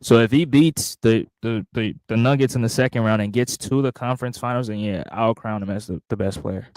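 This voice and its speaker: Low-voiced man, dry and deliberate. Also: a little mellow, dry recording. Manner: measured, hedging, deliberate. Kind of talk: casual sports chat.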